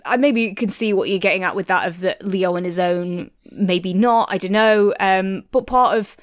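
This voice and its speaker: A woman with a mid-pitched voice talks through her opinions as a review co-host. She is casual and a little tentative, working out her thoughts as she goes. The sound is dry, with very little room in it. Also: normal speed, dry recording. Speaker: audiobook review podcast co-host.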